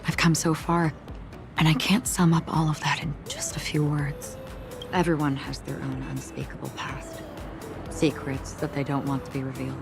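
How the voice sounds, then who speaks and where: A mysterious female wanderer from a video game. Her voice sits low for a woman and stays calm, soft-spoken and reflective. Music plays faintly behind her. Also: normal speed, vocal fry. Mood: quiet, earnest.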